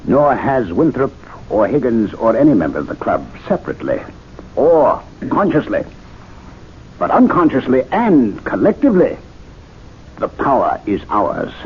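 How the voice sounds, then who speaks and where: A man's voice: medium pitch, very animated, normal speed, natural voice. An actor playing a learned club member who earnestly lectures his fellow members in a radio drama, recorded as a tinny old broadcast with faint hiss. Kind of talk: 1970s radio drama acting.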